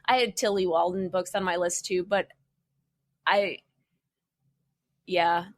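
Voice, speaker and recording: A woman's voice: lowish voice, steady tone, slow, no echo, studio quality. A woman co-hosting a podcast, chatting casually about comic books. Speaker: podcast co-host.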